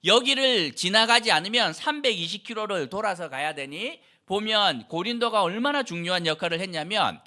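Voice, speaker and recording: A middle-aged man from Andong delivers a church sermon. His high, animated voice rises and falls as he explains things and asks rhetorical questions. The tone is strikingly bright and sharp. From Andong, South Korea. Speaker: pastor.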